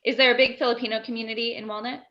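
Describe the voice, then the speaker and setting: A woman's voice: a little high, flat delivery, very fast, highs cut off. A woman hosting an interview, asking questions and summing up her guest's story in a friendly, steering way. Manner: friendly, summarizing and steering the talk. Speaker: interview host.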